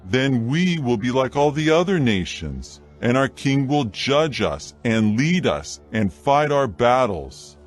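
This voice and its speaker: Insistent, slow-speaking Israelite man. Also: medium pitch, very animated, faint music behind. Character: insistent man of ancient Israel demanding a king. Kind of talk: dramatized scripture audio acting.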